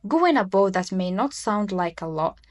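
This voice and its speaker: A woman's voice: mid-range voice, very animated, normal speed. A woman in her twenties from Morocco, co-hosting a podcast and explaining a topic from a script in an emphatic way. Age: 20s. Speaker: podcast co-host.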